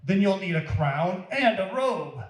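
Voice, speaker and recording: A man's voice: sits high, very animated, unhurried, voice pushed up. A man voicing a mocking, jeering soldier or onlooker in a children's storybook reading. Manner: taunting, mocking. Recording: roomy echo.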